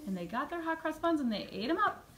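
Woman, high voice, expressive children's storyteller, music teacher, slightly noisy classroom recording. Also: fast talker. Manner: expressive, addressing young children. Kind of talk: live storytelling to children in a puppet show. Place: medium-sized school music classroom. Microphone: phone camera a few metres away. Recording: noisy classroom phone video.

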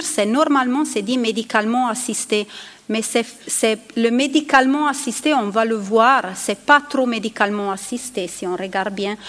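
A woman in her forties, a palliative care doctor with an Italian accent, giving a conference talk at a podium microphone, hesitating as she searches for words in her second language. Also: fairly high pitch. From Italy. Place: large conference hall. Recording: long hall reverb.

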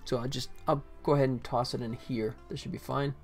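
A man's voice: mid-range voice, steady tone, a little fast, faint music behind. A middle-aged man gives casual live commentary as a game developer, thinking aloud in short fragments. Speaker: game developer livestreaming his work.

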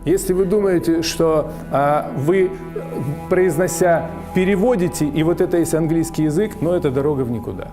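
A man's voice: a little high, normal speed, music in the background. A man teaching English, lecturing an audience on his method in an emphatic, explaining way and repeating his key points.

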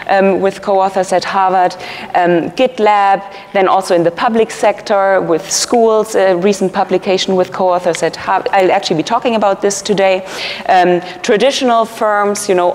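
A woman's voice: medium pitch, a little mellow. A woman researcher giving a conference keynote from a podium, explaining earnestly with fillers and restarts. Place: large conference hall, at a podium. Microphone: podium mic, filmed from a far camera. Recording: long hall reverb.

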